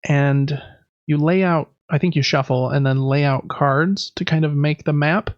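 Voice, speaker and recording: A man's voice: on the high side, a little slow, dry recording, dull audio. A man hosting a podcast, chatting casually and informally through gaming news. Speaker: podcast host. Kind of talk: casual video game news talk.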